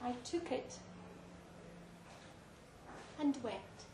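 Slow, higher-voiced woman reading in a roomy venue. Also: animated. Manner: theatrical, deliberate, with dramatic pauses. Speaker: story reader at a live event. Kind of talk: dramatic literary story reading. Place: indoor live reading in a pub venue. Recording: roomy live venue, faint background noise.

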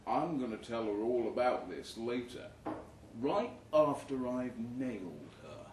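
A man's voice: medium pitch, animated, unhurried, voice pushed down, close to an everyday voice. A man voicing a swaggering, sneering ex-pop star rival, cocky and mocking, in a live comic story reading. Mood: mocking, menacing. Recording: roomy, distant live recording with noise.

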